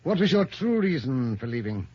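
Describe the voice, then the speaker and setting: An actor playing a shrewd, unflappable police inspector, questioning with dry authority in old radio detective style. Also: male voice, medium pitch, very animated, moderate pace, dark tone, slightly rough. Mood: pressing. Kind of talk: old-time radio detective drama acting. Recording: dull lo-fi vintage radio recording.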